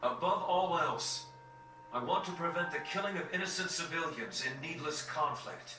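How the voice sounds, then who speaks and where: A man's voice: fairly high pitch, expressive, moderate pace, natural voice. A man playing a selfless young prince in a video game, speaking formally and sincerely. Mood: solemn, sincere. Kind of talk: dramatic video game cutscene acting. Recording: echoey, distant, faint noise.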